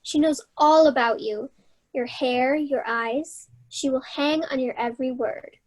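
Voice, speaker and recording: A woman playing a wry, quick-witted young heroine in a fairy-tale play reading, with a dry, teasing manner. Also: high voice, poor audio. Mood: kindly confident. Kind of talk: staged reading of children's play.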